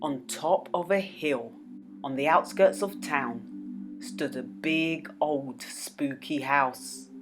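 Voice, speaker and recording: A woman narrating a children's story as a storyteller, with dramatic, expressive delivery. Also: deep voice, takes it slowly, music in the background. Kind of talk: children's read-aloud narration.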